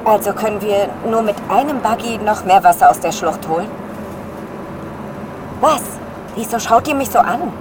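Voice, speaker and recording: A woman's voice: medium pitch, normal speed, pauses often, close to an everyday voice. An actress playing a determined woman scientist astronaut on Mars, indignant and insistent, in a radio drama. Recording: heavy storm noise behind.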